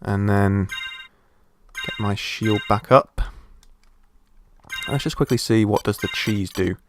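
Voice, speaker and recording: A man with a croaky voice from a sore throat, casually commenting while he plays a game and thinks aloud. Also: lowish voice, steady tone, slow pace, music in the background. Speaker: gameplay commentator. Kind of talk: casual let's play commentary.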